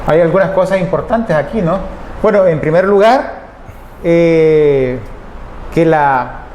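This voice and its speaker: Halting Salvadoran radio host with a higher voice. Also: male voice, a little mellow, roomy sound, dull audio, slight background noise. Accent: Salvadoran Spanish. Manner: halting, frequent restarts, backchannels "ujú". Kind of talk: radio interview hosting.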